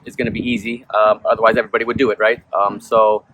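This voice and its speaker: A man's voice: fairly low pitch, flat delivery, fast, a bit bright. A young man born in Peru, a musician being interviewed, who rambles casually and fills his talk with "you know" and "um". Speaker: musician guest. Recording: noisy background.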